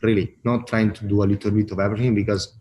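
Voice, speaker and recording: A man from Italy with an Italian accent, talking casually as a guest in an interview and pausing often with fillers and restarts. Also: on the low side, little pitch movement, warm tone, slight background noise. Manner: halting, many fillers and restarts. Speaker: brand marketing guest.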